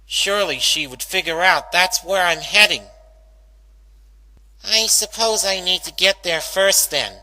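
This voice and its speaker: Guy doing a worried girl pegasus from a cartoon fanfic, talks to herself real slow, kinda high voice. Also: lively intonation, bright, forceful tone, exaggerated voice, low-quality recording. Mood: doubtful, self-arguing. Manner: hesitant, self-arguing, dramatic inner monologue. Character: anxious young female cartoon pegasus pony. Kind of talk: dramatic fan-fiction reading.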